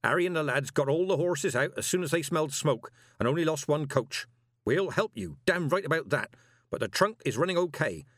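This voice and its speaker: Guy as a blunt coach boss in an audiobook, wry, helpful, lively voice. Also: fairly high pitch, fairly quick, no room sound, clean studio sound, voice pushed up. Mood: obliging, blunt.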